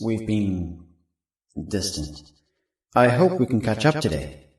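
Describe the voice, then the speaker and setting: A weary pony spy, played by a man records his journal in a low, measured voice, set back from the mic in a roomy space. He sounds earnest and wistful, in an audio drama style. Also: darkish tone, distant mic, natural voice.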